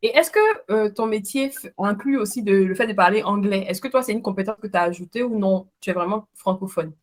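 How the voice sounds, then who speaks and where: Give me a woman host asking questions in a relaxed talk, thinking aloud as she goes. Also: mid-range voice, a little fast. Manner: friendly, thinks aloud with fillers. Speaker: interviewer. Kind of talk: casual interview.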